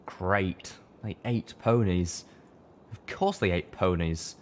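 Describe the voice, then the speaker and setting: A man with a low voice narrates a fantasy tale. He reads scripted first-person prose as an audiobook storyteller. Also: takes it slowly. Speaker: audiobook reader.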